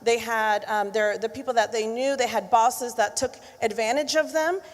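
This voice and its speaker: A woman with a fairly high voice tells a personal story as a panelist, using frequent fillers. A large hall gives her voice a long reverb. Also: steady tone, normal speed.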